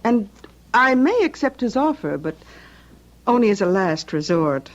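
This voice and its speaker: An actress playing a sweetly polite, quietly calculating crime-family matriarch with a measured, mock-gracious manner in a radio drama. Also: female voice, medium pitch, big pitch swings. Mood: sweetly coy. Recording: dull lo-fi radio recording.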